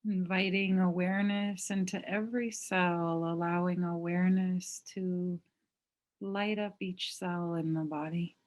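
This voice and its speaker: A woman teaching mindfulness, leading a guided meditation in a gentle, unhurried manner with long pauses. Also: on the low side, little pitch movement.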